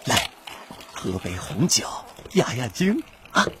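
An actor playing a nervous, self-protective husband and hunted conspirator in a Chinese radio drama, theatrical and jittery. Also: male voice, medium pitch, very animated, slow, very noisy. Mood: placating, weary.

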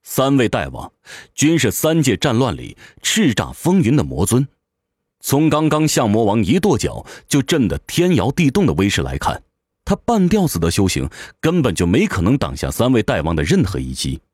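A man's voice: mid-range voice, wildly swooping pitch, moderate pace, a little mellow, breathy edge, no echo, clean studio sound. A man narrating a fantasy audio drama from a script in a theatrical, suspenseful manner.

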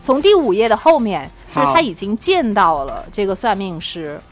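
A woman's voice: mid-range voice, sing-song, moderate pace. A woman hosting a casual chat, talking in an effusive, eager way. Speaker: podcast host.

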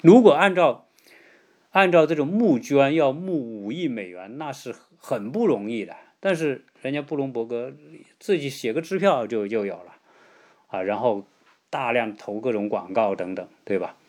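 A man's voice: medium pitch, lively intonation, normal speed, a little mellow. A man hosting a podcast, delivering casual political commentary in a conversational way, with rhetorical questions, check-in tags and emphatic stresses. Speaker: podcast host.

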